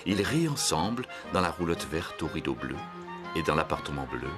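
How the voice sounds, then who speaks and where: A man narrating a scripted children's story in measured, simple sentences. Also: extremely low pitch, sing-song, music in the background.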